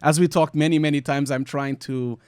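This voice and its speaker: A man's voice: a little high, steady tone, fairly quick, a little mellow, smooth, dry recording. A man in his forties with a Portuguese accent, chatting as a podcast co-host in an informal, rambling, joking way. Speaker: podcast co-host, visual effects supervisor. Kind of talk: casual podcast chat.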